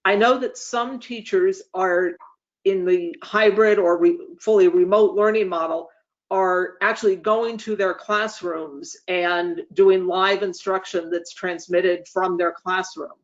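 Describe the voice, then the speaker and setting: A speaker hosting an online meeting, giving semi-formal updates in measured, formal wording with frequent hesitant pauses. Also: mid-range voice, steady tone. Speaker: association leader hosting a meeting. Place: online webinar call. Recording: dull, lo-fi online meeting audio.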